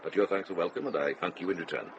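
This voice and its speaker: An actor playing a kindly, shrewd older monk, speaking in a measured, courteous, gently wry way in a radio drama. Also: male voice, low-pitched, lively intonation, highs cut off, background noise. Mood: warm courtesy. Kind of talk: British radio drama acting.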